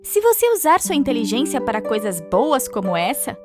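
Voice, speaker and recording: A woman voicing a gentle, patient, polite stork in a children's cartoon, earnest and expressive, with a Brazilian accent. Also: on the high side, brisk, over music. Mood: gently instructive.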